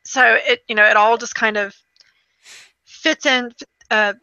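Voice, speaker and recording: A woman's voice: a little high, steady tone, a little slow, sharp tone, clean tone, no echo, muffled recording. A woman co-hosting a podcast, giving informal, opinionated commentary in a casual conversational style. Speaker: podcast co-host.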